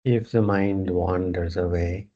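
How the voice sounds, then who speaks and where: A man teaching yoga, guiding a meditation in short phrases with long pauses between them. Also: sits low, fairly even intonation, mellow, lo-fi sound. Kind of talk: guided meditation instruction.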